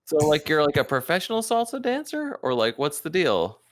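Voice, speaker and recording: A man's voice: fairly high pitch, wildly swooping pitch, a little fast, no room sound, studio quality. A man hosting a casual podcast interview, joking and asking questions with lots of fillers. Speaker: podcast host.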